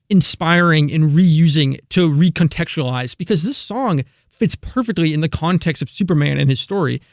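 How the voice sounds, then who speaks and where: A man's voice: on the high side, no room sound, clean studio sound. A young man hosting a college radio show, chatting casually about film scores with lots of fillers. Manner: relaxed, rambling, many fillers.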